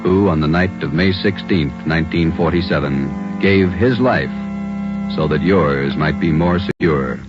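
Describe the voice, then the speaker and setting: A man's voice: a bass voice, a little slow. A man announcing on vintage radio in a formal, emphatic scripted style. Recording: dull old radio broadcast with music. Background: loud music.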